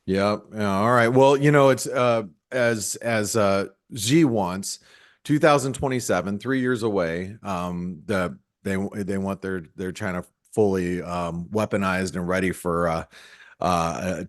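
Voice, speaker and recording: A man co-hosting a webinar, talking casually about markets in a relaxed, rambling way with many fillers. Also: deep voice, unhurried. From Taiwan-born American. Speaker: webinar co-host.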